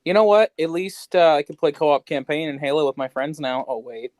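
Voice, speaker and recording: A man's voice: a little high, a little fast, darkish tone, no room sound. A man co-hosting a podcast, bantering casually with a playful, sweary, irreverent manner. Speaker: podcast co-host.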